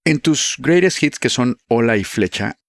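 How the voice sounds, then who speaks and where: A man's voice: mid-range voice, lively intonation, creaky, no echo, clean studio sound. A man hosting a podcast, asking questions in a friendly, playful way. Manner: friendly, playful asides and quick acknowledgements. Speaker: podcast host. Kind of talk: casual interview questions.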